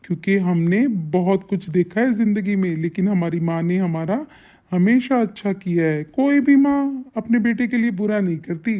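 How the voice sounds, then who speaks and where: A woman's voice: moderate pace, close to an everyday voice. A woman voicing a devoted, protective adult son in a melodramatic family cartoon, with an emphatic, insistent manner. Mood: firm, devoted. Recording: dull, low-fidelity sound.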